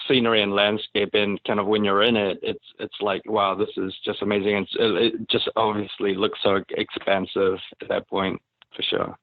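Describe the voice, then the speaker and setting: A man's voice: fairly low pitch, flat delivery, normal speed, no room sound. A man from New Zealand with a New Zealand accent, a guest actor chatting casually in an interview, full of fillers and easy laughs. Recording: tinny phone-like line, very dry.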